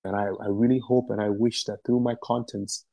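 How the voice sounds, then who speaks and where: A man from Ghana speaks with a low, dark and somewhat husky voice, emphatic and passionate as he answers interview questions. His sound is dry and close, with no room around it. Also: flat delivery, fairly quick, no echo. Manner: passionate, emphatic, repeats phrases for stress. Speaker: guest musician and activist.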